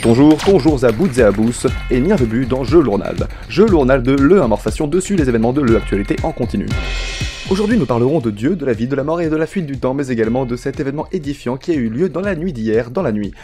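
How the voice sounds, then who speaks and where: A man's voice: medium pitch, expressive, fast talker, warm tone, soft background music. A man hosting a parody news show, delivering scripted comedy with mock-pompous announcer gravity.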